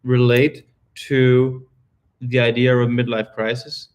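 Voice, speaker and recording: A man's voice: medium pitch, completely flat delivery, takes it slowly, darkish tone. A Danish man in his early thirties with a Danish accent, co-hosting a casual livestream from home, self-mocking and dramatic, often stumbling over his words. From Denmark. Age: 30s. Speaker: vlogger co-host of a livestream.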